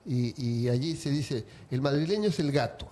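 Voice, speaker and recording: A man from Peru, a writer, answering interview questions in a reflective, unhurried way. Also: mid-range voice, dark tone. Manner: reflective, unhurried, with small restarts.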